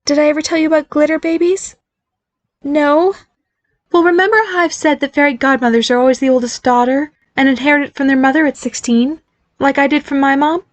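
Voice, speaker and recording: A woman's voice: sits high, a little fast, no echo, voice pushed up, natural voice. A woman voicing a nervous, bubbly fairy godmother mother who keeps reassuring her son. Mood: chirpy nervous.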